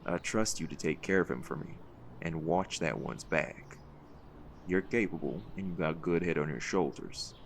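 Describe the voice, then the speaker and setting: A man playing a seasoned, folksy leader of a hunt in an audio roleplay, gravelly and reassuring, with a fond teasing streak. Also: deep voice, moderate pace, slight background noise. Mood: calm, encouraging.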